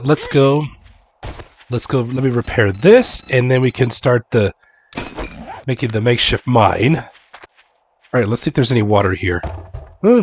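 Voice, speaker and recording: Hoarse man getting over a cold, casual game commentary, mid-pitched, stumbles and repeats words as he plays. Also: a little hiss or hum. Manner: repeats words, talks himself through tasks.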